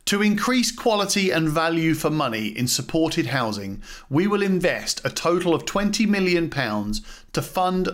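Male podcast host, slightly high-pitched voice, scripted narration, formal document read aloud. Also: fairly bright tone.

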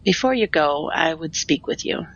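Woman as creaky-voiced, solemn fortune-telling queen. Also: sits low, animated, moderate pace, highs cut off, slight background noise. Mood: grave. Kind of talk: formal, cryptic audio drama dialogue.

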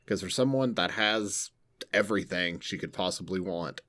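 A woman with a naturally deep voice chatting on a podcast, joking around and swearing casually. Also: normal speed, fairly bright tone. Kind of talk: casual chat.

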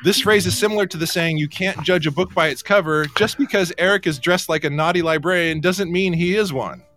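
A man's voice: high-pitched, a little fast, a bit bright. A man hosting a casual radio show, bantering in a wry, joking way.

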